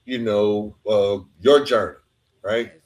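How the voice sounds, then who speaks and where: A man's voice: lowish voice, fairly even intonation, slow pace, darkish tone. A man hosting a conversational interview, reflective and informal, sharing his own anecdotes and often checking in with a tag question. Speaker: podcast host.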